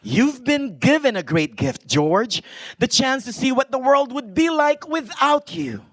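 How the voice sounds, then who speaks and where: Gentle angel, very high male pitch, lively intonation, explanatory, dry radio drama sound. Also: a little slow, dry recording, heavily acted. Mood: gently instructive. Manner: explanatory, emphatic. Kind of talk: live radio play acting.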